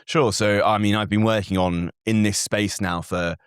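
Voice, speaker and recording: A young man, a native Farsi speaker raised in Britain, answering as a guest analyst in an earnest, measured way with frequent fillers and restarts. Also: on the low side, steady tone, clean tone, no echo, studio quality. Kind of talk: interview answers.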